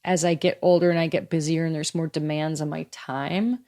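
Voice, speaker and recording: A woman in her fifties, a guest in a casual interview, talking in a chatty, lively way and laughing easily. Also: sits low, brisk. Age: 50s. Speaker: guest athlete.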